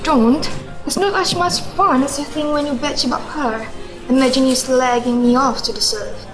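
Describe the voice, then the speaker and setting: Actress as probing, teasing wife, high voice, radio play, faint music underneath. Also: female voice, normal speed, close to an everyday voice, poor audio. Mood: wry, teasing. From Indonesia. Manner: wry, probing. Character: questioning, teasing wife, fair-minded and wry. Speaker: actor in a student radio drama. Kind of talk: radio drama acting.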